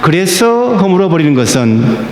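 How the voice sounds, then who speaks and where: A man preaching a sermon as pastor, slow and emphatic, with stressed words that rise. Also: high-pitched, very animated, darkish tone. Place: church sanctuary. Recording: echoey church hall.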